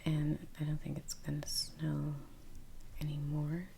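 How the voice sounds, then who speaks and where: A woman speaking in a hushed, gentle, whispered ASMR style, murmuring casual painting commentary with long pauses, close to the microphone. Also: low voice, completely flat delivery, vocal fry, a little hiss or hum. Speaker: ASMR creator painting on camera.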